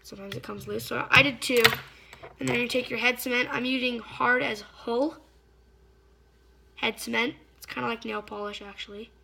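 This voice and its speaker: A slightly hoarse woman giving a casual hands-on fly-tying tutorial, chatty and often stopping to search for a word. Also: on the high side, steady tone, moderate pace, noisy background. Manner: chatty, stops to search for words.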